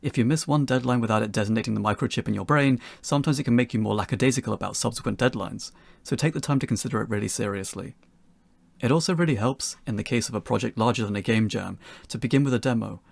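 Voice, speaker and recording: A man's voice: mid-range voice, quick pace, gravelly, no room sound. A man narrating a scripted tutorial in an articulate way with wry phrasing. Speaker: game developer giving a tutorial.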